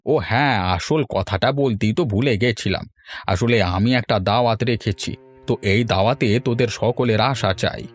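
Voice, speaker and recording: A man's voice: mid-range voice, no echo, lightly acted. A man voicing a snobbish middle-aged father in a cartoon, brusque and grumbling, with disdain for the poor. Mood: matter-of-fact. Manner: brusque, sneering, quick to grumble. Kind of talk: Bengali cartoon voice acting.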